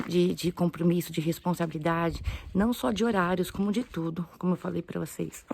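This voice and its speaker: A missionary woman speaking earnestly in a halting, casual way, with many restarts, while she walks outdoors. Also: lowish voice, gravelly, darkish tone, a little hiss or hum. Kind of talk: casual religious vlog talk. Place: outdoors, walking past houses and ruins.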